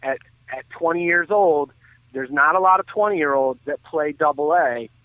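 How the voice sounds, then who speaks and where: A man giving earnest, detailed off-the-cuff baseball commentary as a radio guest, often halting and restarting his sentences. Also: a little high, dry recording. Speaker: baseball analyst and guest on a sports radio show. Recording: thin phone-like line.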